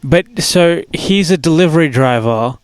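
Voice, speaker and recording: A man's voice: on the high side, animated, a little slow, clean tone, dry recording. A man co-hosting a podcast, chiming in with amused reactions in casual chat. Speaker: podcast co-host.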